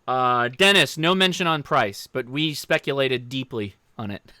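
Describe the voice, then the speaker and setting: A man's voice: medium pitch, unhurried, heavy background noise. An American man hosting a casual livestream, informally joking and talking directly to viewers as he answers their questions. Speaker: livestream host.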